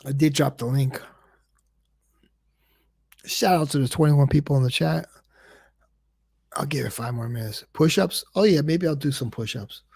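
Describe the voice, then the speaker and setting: A man's voice: a little high, moderate pace, gravelly, long pauses, a little mellow, slightly rough. Rambling man hosting a casual livestream. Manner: rambling, repeats words, says he stutters. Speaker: livestream host.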